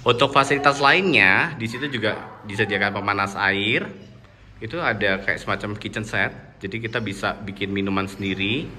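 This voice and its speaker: A man's voice: lowish voice, moderate pace, bright, forceful tone, a little hiss or hum. A man casually narrating a walk-through tour of a cafe and guesthouse, pointing things out as he goes, recorded on a handheld phone. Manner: friendly, informal, points things out as he walks. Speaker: vlogger touring a cafe and guesthouse. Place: outdoors and indoors at a mountain cafe and lodging.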